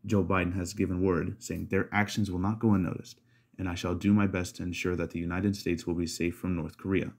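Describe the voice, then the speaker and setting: A man's voice: deep voice, little pitch movement, a little fast, a little mellow. A man reading a scripted news report as an anchor.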